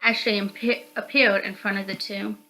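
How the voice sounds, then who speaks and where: A woman's voice: mid-range voice, little pitch movement, moderate pace, bright, forceful tone, faint music behind, poor audio. A woman reading scripted first-person narration from a visual novel aloud.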